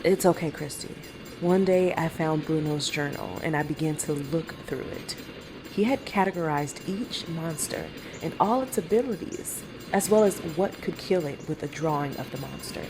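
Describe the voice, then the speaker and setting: An actor playing a middle-aged mother and monster hunter who recounts past horrors in a measured, deliberate audio drama manner. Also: sits low, music in the background, low-quality recording. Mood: reflective. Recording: faint background noise.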